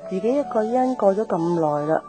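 An actress playing a kindly middle-aged mother in a radio drama, gently fussing, with a Hong Kong Cantonese accent. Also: mid-range voice, animated, brisk, over music, close to an everyday voice. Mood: kindly, concerned. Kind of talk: naturalistic radio drama acting.

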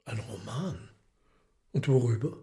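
An actor playing Satan as an urbane, commanding gentleman in a radio drama, probing and ironic. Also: medium pitch, lively intonation, unhurried, creaky. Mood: curious, mocking.

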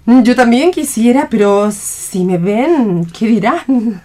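An actress playing a grave, doubting mother in a radio play, with a breathy, whispery voice. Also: on the high side, lively intonation, slow pace, mellow. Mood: hesitant. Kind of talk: theatrical radio play reading.

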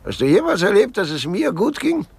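An actor playing a frail, blind old husband in a radio play, querulous, morbid and wry, with a halting, theatrical delivery. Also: male voice, high voice, very animated, darkish tone, breathy edge, exaggerated voice. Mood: bitter, querulous. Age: older.

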